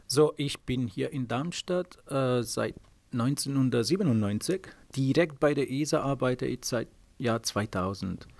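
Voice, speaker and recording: A man's voice: medium pitch, unhurried, gravelly, a little mellow, dry recording. A middle-aged Italian man with an Italian accent, answering interview questions in hesitant German full of filler sounds. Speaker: space mission software engineer. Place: office at a space operations centre.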